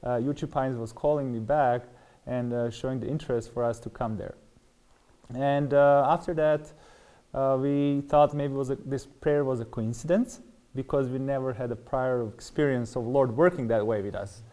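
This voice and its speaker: Mellow Serbian-accented man, halting storyteller. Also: medium pitch, steady tone. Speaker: interview guest. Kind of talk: personal testimony in interview.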